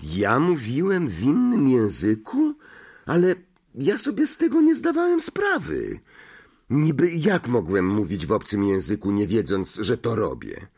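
A man's voice: mid-range voice, wildly swooping pitch, measured pace, a little mellow, breathy edge, exaggerated voice. A man voicing an indignant, bewildered boy wizard in an audiobook, protesting and stammering defensively. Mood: indignant, alarmed. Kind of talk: audiobook character acting.

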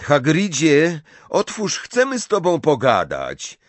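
Storybook boy hero, male reader: defiant teenage wizard, earnest, lively inflection, lighter than narration. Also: mid-range voice, takes it slowly, no room sound, voice pushed up, full character voice. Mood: insistent. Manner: plain-spoken, defiant.

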